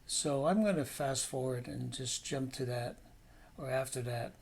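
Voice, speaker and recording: A man's voice: mid-range voice, a little slow. A middle-aged man giving a casual painting demonstration as an instructor, rambling and thinking aloud. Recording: clear steady background noise.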